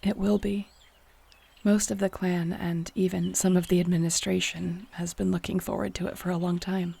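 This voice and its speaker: A woman playing an earnest, tender young shapeshifter clan leader in an intimate audio roleplay, speaking softly and confidingly. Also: on the low side, flat delivery, moderate pace, vocal fry, slightly rough, heavily acted. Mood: relaxed, fond. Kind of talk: intimate audio roleplay acting addressed to the listener.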